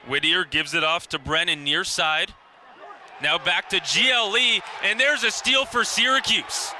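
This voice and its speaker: A man in his twenties giving live radio play-by-play in clipped, descriptive phrases that grow excited on big plays. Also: high voice, unhurried. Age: 20s. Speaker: play-by-play commentator. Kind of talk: live radio sports commentary. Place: outdoor lacrosse stadium. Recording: noisy outdoor broadcast with stadium ambience.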